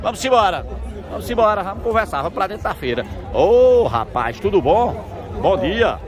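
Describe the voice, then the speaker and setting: A man's voice: high voice, big pitch swings, moderate pace. A man who hosts a video. He walks through an outdoor livestock market, chatting with traders and greeting everyone in an upbeat, repetitive way. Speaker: market reporter and video host. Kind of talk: on-the-spot interviews while walking. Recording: noisy outdoor market crowd. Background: crowd chatter.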